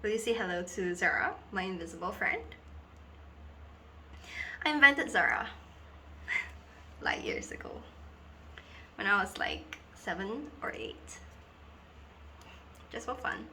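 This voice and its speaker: A woman performing a dramatic monologue as a lonely, wistful girl who confides haltingly and theatrically in an invisible friend. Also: mid-range voice, expressive, bright, forceful tone, slight background noise. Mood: wistful, confiding. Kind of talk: dramatic monologue for an acting reel.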